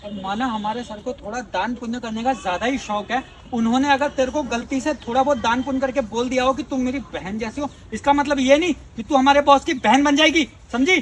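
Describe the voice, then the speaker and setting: A man acts out a bullying male company employee in an amateur melodrama. His voice is very high and strained upward, full of taunts and threats. A faint outdoor noise sits behind it. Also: steady tone, fairly quick, few pauses, far from the mic, natural voice. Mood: contemptuous. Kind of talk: amateur Hindi melodrama skit acting.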